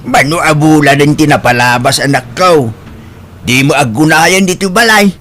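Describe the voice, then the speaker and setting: Man acting a high-voiced, put-upon old father-in-law. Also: normal speed, a bit bright, natural voice. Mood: concerned, gently reproving. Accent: Ilocano. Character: hardworking, put-upon elderly father-in-law, gently reproachful. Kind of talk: Ilocano audio drama acting.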